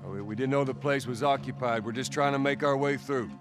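An actor playing a weary, stubborn middle-aged survivor in a video game, with a Southern US accent and a terse, gruff manner. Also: male voice, medium pitch, fast, over music, lightly acted. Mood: guarded. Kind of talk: cinematic video game acting.

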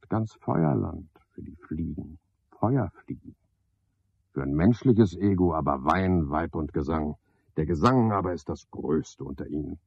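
An actor playing a pedantic, misanthropic night porter in a radio drama, a man with a husky, gravelly voice and a pompous, sardonic manner. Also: a bass voice, dry recording, exaggerated voice. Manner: pompous, sardonic, ornate wording. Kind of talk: 1970s German radio drama monologue. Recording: dull, dry 1970s radio recording.

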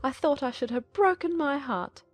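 A woman's voice: high-pitched, animated, moderate pace, no room sound, faint music behind, pitched above the performer's own voice. A woman voicing a fussy, self-pitying middle-aged mother who laments dramatically. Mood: lamenting.

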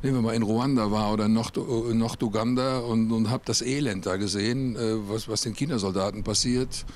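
A man, answering interview questions outdoors on a rooftop into a handheld mic, thoughtful and searching, with frequent pauses. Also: lowish voice, fairly even intonation, a little mellow, a little hiss or hum. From Cologne, Germany. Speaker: singer-songwriter.

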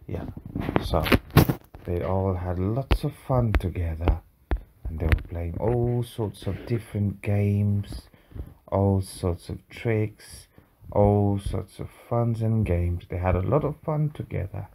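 Very deep, slow, mellow storyteller. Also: background noise. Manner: patient, simple phrasing, often pausing to answer a child. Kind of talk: improvised children's bedtime storytelling.

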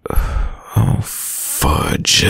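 A man playing a shy, smitten young student in an intimate boyfriend roleplay, deep-voiced, with a hesitant, stammering manner. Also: very slow, fairly bright tone, no echo. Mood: earnest, confessing. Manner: hesitant, stammering, nervous chuckles. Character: shy, smitten young male student gamer, a caring crush.

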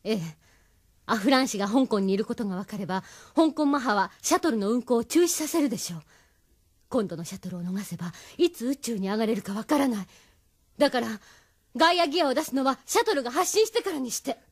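A woman playing an urgent, resolute resistance member in an audio drama, brisk and firm. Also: fairly high pitch, animated, no echo, clean studio sound. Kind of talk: Japanese audio drama acting.

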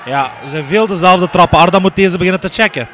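A man's voice: high-pitched, fast talker, a bit bright. A man giving live kickboxing commentary as a fight commentator. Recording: noisy event hall ambience.

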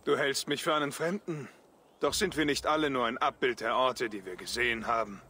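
An actor playing a stern, commanding Norse warrior who speaks solemnly and deliberately in a video game. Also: male voice, medium pitch, pushed and bright, slightly rough. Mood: grave, resolute. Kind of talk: dramatic video game dialogue.

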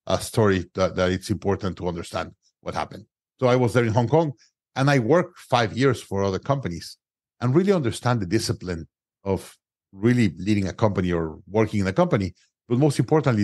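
Mexican-accented man, low dry voice. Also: a little mellow, no room sound. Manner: non-native grammar, frequent restarts and "uh" fillers. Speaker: guest entrepreneur. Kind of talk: conversational interview storytelling.

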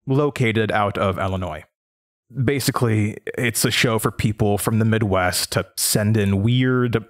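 An American man in his twenties playing a weary, sardonic Midwestern horror radio host in an audio drama, with a dry, halting manner. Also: fairly low pitch, no echo. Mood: sardonic, uneasy. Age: 20s. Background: music behind the voice.

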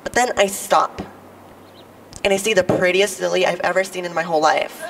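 A young woman with a low voice, a little sick, performing a stand-up comedy monologue with playful, teasing asides to the crowd. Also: fairly even intonation, normal speed, vocal fry, fairly bright tone. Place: outdoors on building steps at a podium. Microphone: podium mic. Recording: distant outdoor mic, faint noise.

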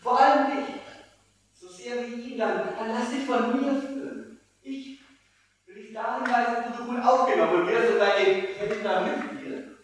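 A man's voice: extremely high pitch, unhurried. Guy playing ardent nobleman in a stage play, earnest, far from the mic with some noise. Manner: earnest, declamatory. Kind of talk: theatrical stage acting. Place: small inn theatre stage, far camera. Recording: echoey hall from far off, noisy.

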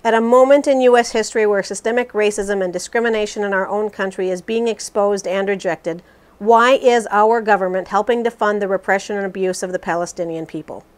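A woman voicing a resolute, critical politician in a scripted interview re-enactment, formal and measured. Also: mid-range voice, lightly acted.

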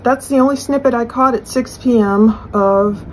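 A middle-aged woman giving conversational true crime commentary in a husky voice, explaining and speculating as she poses questions aloud. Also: fairly high pitch, moderate pace, darkish tone, a little hiss or hum, poor audio. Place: small furnished room indoors.

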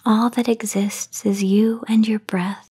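A woman guiding a sleep meditation in a soft, breathy half-whisper, soothing and unhurried, with long pauses. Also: on the high side, little pitch movement. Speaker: meditation guide. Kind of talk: guided sleep meditation narration, soft-spoken. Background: voice only, no music or background noise.

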